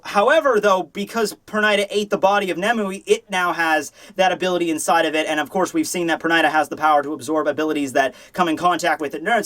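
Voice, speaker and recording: A man hosting a manga review, talking in a casual, opinionated, rambling and animated way with casual swearing. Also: sits high. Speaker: host of a manga review show.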